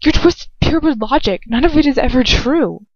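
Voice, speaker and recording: A woman's voice: sits high, animated, normal speed, dry recording, pitched above the performer's own voice. Woman playing a wounded, defiant young witch. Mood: defiant, pleading. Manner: shaky, whispering, accusing. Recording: dull, lo-fi, dry home recording.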